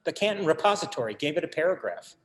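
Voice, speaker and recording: An actor in a staged reading, playing a bereaved writer son who tells his family story in a measured, literary, wry way. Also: fairly high pitch, very animated. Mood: wry, nostalgic. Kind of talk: dramatic monologue in a staged reading.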